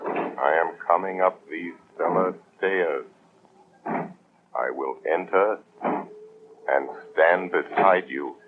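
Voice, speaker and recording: Very deep, menacing unseen avenger. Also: male voice, very slow, pauses often. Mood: ominous. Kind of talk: 1930s radio acting. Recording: noisy, tinny 1930s radio broadcast.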